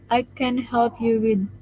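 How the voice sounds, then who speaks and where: Woman, slow pace, soft low-volume voice, tired after jaw surgery, live stream chat. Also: slight background noise, rough recording. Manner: speaks softly, restarting phrases, laughing often. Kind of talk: casual live stream chat reading comments.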